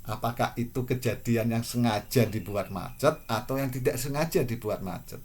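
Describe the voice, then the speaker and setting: A man in his forties from Indonesia, speaking to camera in a measured, earnest and reflective personal monologue. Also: medium pitch. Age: 40s. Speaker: vlogger.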